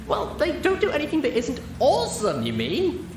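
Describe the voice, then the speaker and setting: A woman's voice: high voice, wildly swooping pitch, moderate pace. A woman voicing a brash, cocky young female pegasus pony with punchy, casual swagger in an audiobook reading. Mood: brash, eager. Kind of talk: character acting in an audiobook reading. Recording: roomy, distant mic with background noise.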